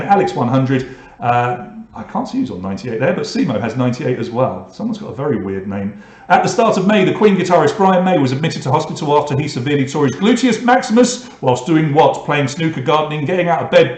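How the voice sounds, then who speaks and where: A man from England hosts an online pub quiz, reading out questions and running scores. He breaks off often to tease the players with dry jokes. His voice sits at a middle pitch and echoes around the room. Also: darkish tone. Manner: reads questions and scores, joking asides to players. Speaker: quiz host.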